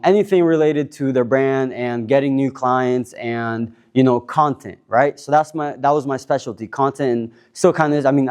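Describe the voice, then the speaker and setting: Relaxed young man introducing himself. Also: mid-range voice. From Cuba. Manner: relaxed, rambling, many fillers and restarts. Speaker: panel speaker. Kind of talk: casual self-introduction at a panel.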